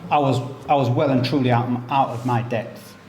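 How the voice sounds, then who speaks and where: Man, mid pitch, laughs often, repeats words, casual storytelling, echoey room, faint noise. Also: steady tone, fairly quick. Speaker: jiu-jitsu professor and academy co-owner. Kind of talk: casual chat. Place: inside a martial arts gym.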